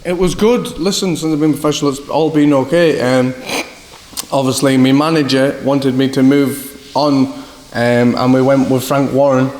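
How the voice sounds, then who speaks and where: A man's voice: medium pitch, fairly even intonation, moderate pace, a little mellow. A young man from Scotland with a northeast English accent, a boxer telling rambling stories in an interview, often repeating "do you know what I mean". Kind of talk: casual interview answers. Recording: echoey hall with faint background noise.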